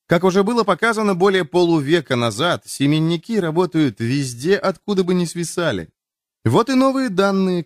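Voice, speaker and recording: A man's voice: medium pitch, no echo, clean studio sound. Male audiobook narrator for popular nonfiction; mid-range voice, very varied intonation, scripted delivery.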